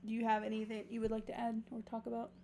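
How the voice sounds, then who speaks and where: A woman's voice: fairly high pitch, monotone, fast talker, creaky, mellow, slight background noise. A young adult woman co-hosting a podcast, chatting casually and asking her co-host questions. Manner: chatty, asks questions, steers the talk. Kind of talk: casual chat.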